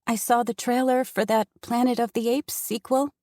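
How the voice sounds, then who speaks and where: A woman voicing a frightened, pregnant teenage girl who begs in a high, tearful voice. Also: little pitch movement, moderate pace, a little mellow, dry recording, clean studio sound, lightly acted. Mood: placating. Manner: pleading, tearful, high-pitched.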